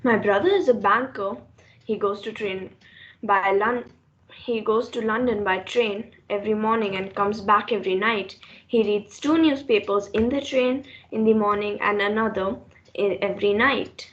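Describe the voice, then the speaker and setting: A woman's voice: mid-range voice, steady tone, moderate pace, a little hiss or hum, lightly acted. A woman playing a polite neighbourly lady in an amateur reader's theater, reciting her lines plainly. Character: polite neighbourly lady making small talk. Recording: dull, muffled sound.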